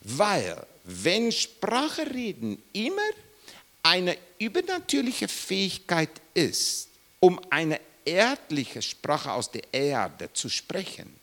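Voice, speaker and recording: Emphatic preacher man, swooping pitch. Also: fairly bright tone. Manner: emphatic, rising and falling sharply, repeats questions to listeners. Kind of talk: Bible teaching sermon.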